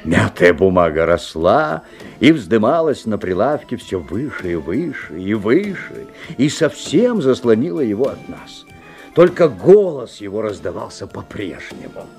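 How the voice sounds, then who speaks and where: An actor playing a wary, observant father who narrates a strange tale expressively, with theatrical pauses. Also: lowish voice, very animated, darkish tone. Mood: wondering, uneasy. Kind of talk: dramatic literary narration. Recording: 1970s audio play, music behind.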